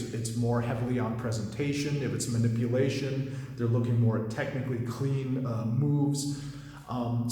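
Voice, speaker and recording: A man in his thirties talks shop as a coach, easygoing and rambling, with plenty of fillers and false starts. His voice sits at an ordinary middle pitch. It rings through a very echoey space with a long reverb tail. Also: darkish tone. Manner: rambling, with many fillers and restarts. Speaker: magic coach and competitor. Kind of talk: casual commentary.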